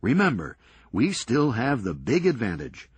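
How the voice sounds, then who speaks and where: A man voicing a cool-headed, reckless ringleader in a crime story, brisk and unbothered. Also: medium pitch, huge pitch swings, breathy edge, dry recording, pitched above the performer's own voice, exaggerated voice. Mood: reassuring. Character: unflappable, cheerfully reckless male ringleader.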